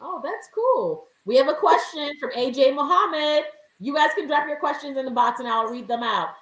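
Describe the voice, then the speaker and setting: A woman's voice: sits high, animated, moderate pace, a bit bright, roomy sound, rough recording. A woman hosting a live interview, eagerly asking questions and reading out viewer questions. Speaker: interview host.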